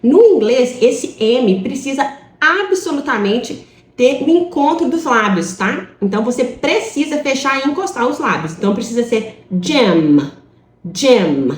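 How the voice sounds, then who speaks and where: A woman's voice: sits high, big pitch swings, a little slow, echoey room. A woman from Brazil teaching an online English class, explaining step by step and checking in with her students.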